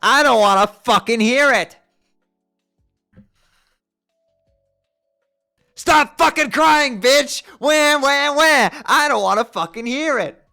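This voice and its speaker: A performer voicing a fierce teenage girl who scolds and yells in exasperation. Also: medium pitch, big pitch swings, unhurried, soft background music, full character voice. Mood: yelling.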